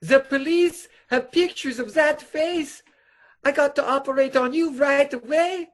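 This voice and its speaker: A high-voiced, nervous crooked doctor in a radio comedy, played by a woman. The delivery is slow, hesitant and placating, in broken English, like a tipsy henchman soothing a boss. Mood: anxious. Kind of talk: stage comedy radio acting.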